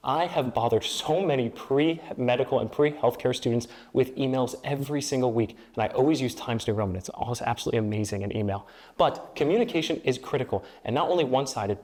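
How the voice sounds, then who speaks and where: Young man giving a campaign pitch. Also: a little mellow. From grew up in Peru and the Dominican Republic. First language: Spanish. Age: young adult. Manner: speechlike, builds rhetorical triplets and repeated phrases. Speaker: student government presidential candidate.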